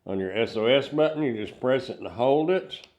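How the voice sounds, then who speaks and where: A man's voice: mid-range voice, lively intonation, moderate pace, a little mellow, highs cut off. An older man giving a casual hands-on product review, explaining things step by step in plain words.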